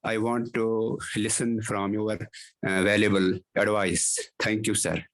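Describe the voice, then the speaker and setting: A man's voice: on the low side, little pitch movement, slow. A man asking his teacher a question in an online class, hesitant and formally polite, with many fillers. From Kashmir.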